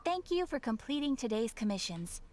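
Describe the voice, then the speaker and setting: A courteous guild receptionist. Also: female voice, on the high side, very animated, moderate pace, noisy background. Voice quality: crisp, courteous, ceremonial. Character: polite formal female guild receptionist. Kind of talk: game character voice lines. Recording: game audio with music underneath.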